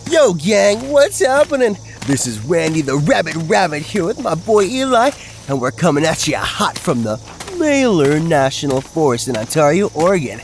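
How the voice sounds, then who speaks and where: Brash young male ghost-hunt host played by a man: high voice, animated, crude slang, audio drama. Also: moderate pace, slight background noise. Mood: hyped, showy. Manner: cocky, slangy, hyped-up vlogger patter.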